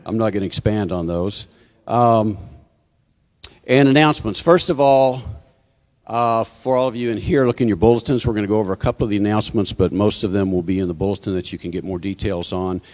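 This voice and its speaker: Man, church announcer, low voice, informal with joking asides, long hall reverb. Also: brisk, a little mellow. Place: church sanctuary, live service. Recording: hall reverb from sound system.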